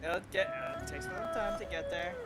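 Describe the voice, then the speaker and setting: A man's voice: high voice, fast, very noisy. A man giving casual gameplay commentary as a streamer, rambling and thinking aloud. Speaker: game streamer. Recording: game music behind the voice. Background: video game music.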